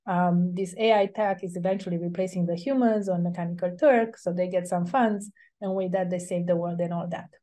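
A middle-aged woman speaks over a video call, her voice soft and mellow. She explains her ideas earnestly and at a measured pace, pausing often to think. It sounds like an academic webinar talk given from a home office. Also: on the low side, steady tone. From Romania. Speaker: invited speaker.

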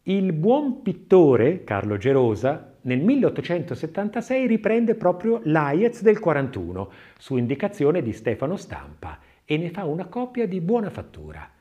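A man narrating a scripted audiobook in an expressive, literary, dramatic reading style. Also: fairly high pitch, normal speed, hardly any pauses.

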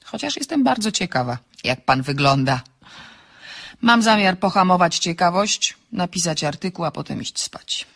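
An actress playing a witty, outspoken single woman in an audio drama, bantering briskly with polite irony. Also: fairly low pitch, expressive, a bit bright. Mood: wary, curious. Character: witty, outspoken single woman writer, teasing and self-ironic. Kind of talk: Polish audio drama dialogue.

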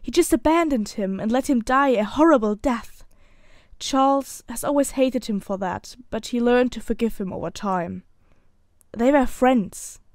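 A woman's voice: a little high, unhurried, no echo. Woman acting earnest fiancée, close dry sound. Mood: reluctant, sorrowful. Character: loyal, earnest young fiancée, protective and sorrowful. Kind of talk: audio drama acting.

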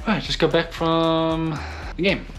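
Relaxed South African man, softened accent. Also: fairly high pitch, measured pace, music in the background. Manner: relaxed, matter-of-fact self-filming. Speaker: professional baseball pitcher vlogging. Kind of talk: casual video diary. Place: hotel room and city street. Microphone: phone camera at arm's length.

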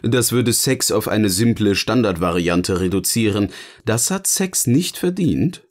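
Ein Mann spielt in einem Hörspiel einen eloquenten, sardonischen Erzähler, der bedächtig und theatralisch philosophiert. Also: male voice, on the low side, expressive, unhurried. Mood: sardonic. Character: eloquent, sardonic male narrator finally free to speak. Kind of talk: scripted audio drama dialogue, philosophical musing.

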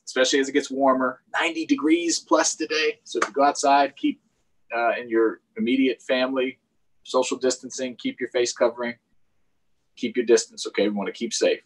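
A man's voice: mid-range voice, fairly even intonation, brisk, husky, low-quality recording. A middle-aged man with an American accent hosting a children's storytime, reading aloud expressively with chatty explanations. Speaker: storytime host. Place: small room at home, indoors. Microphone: computer or tablet on a video call.

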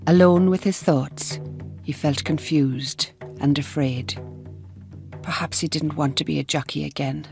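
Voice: medium pitch, steady tone, unhurried, vocal fry, darkish tone, faint music behind. A narrator delivering scripted narration for a radio drama.

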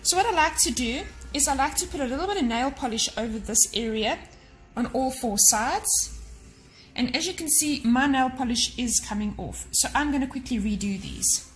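A woman in her thirties chats through her kitchen clean-out, with a slightly high voice and a friendly, practical way of passing on tips. She talks close to a camera mic in a home kitchen. Soft music plays faintly underneath. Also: lively intonation, normal speed, low-quality recording. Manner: chatty and practical, gives tips directly to viewers. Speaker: cleaning vlogger. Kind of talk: casual how-to narration to camera.

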